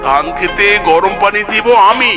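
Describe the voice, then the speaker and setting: A man's voice: high voice, expressive, moderate pace. A man voicing a foolish, whiny fox husband in a comedy cartoon, with exaggerated exclamations. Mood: smug, delighted. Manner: exaggerated wailing, self-pleased exclamations. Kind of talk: Bengali comedy cartoon acting. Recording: music under the voice.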